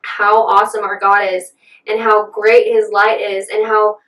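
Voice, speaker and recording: A woman's voice: medium pitch, flat delivery, a little slow, a bit bright, roomy sound, far from the mic, poor audio. A woman in her twenties co-hosting a casual faith podcast, earnest and bubbly, laughing often. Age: 20s. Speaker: podcast co-host.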